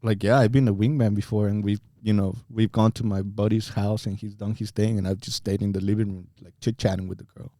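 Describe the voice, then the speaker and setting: Podcast guest, young man, outspoken and emphatic, warm low timbre. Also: little pitch movement, fairly quick, few pauses, no room sound, studio quality. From Mexico. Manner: blunt, swears freely, emphatic. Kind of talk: casual chat.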